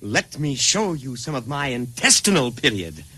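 An actor playing an eccentric, boastful struggling painter with a breezy, chatty manner, in old-time radio comedy style. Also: male voice, mid-range voice, very animated, moderate pace, bright, forceful tone, breathy edge, full character voice. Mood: eager, oblivious. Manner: breezy, chatty, proud of his own work. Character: eccentric struggling painter, boastful, oblivious, sly, eager to show off.

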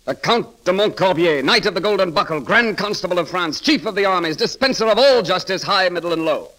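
An actor playing a witty, bold and romantic grand constable in an eloquent, theatrical 1930s radio style. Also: male voice, high-pitched, animated, a little fast, pushed and bright. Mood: defiant, commanding.

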